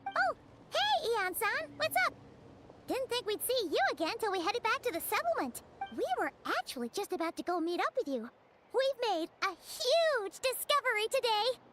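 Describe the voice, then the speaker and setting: Very high squeaky childlike fairy companion. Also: female voice, big pitch swings, normal speed, very noisy. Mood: excited. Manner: chatty, exclaiming, refers to self in third person. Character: small excitable childlike floating fairy companion. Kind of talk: anime game voice acting. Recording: music behind the voice.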